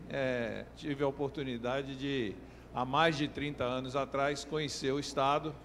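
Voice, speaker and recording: A man's voice: medium pitch, long reverb tail, a little hiss or hum. A middle-aged man from Maranhão giving a conference talk, hesitant, with many fillers and restarts. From Maranhão, Brazil. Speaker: keynote speaker. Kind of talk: conference presentation.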